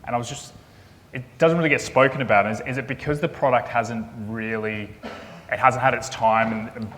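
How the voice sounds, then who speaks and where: A man's voice: on the low side, a bit bright. A man from Australia with an Australian accent, eagerly joining a panel discussion and repeating words as he goes. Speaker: panel participant. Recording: echoey hall, faint noise.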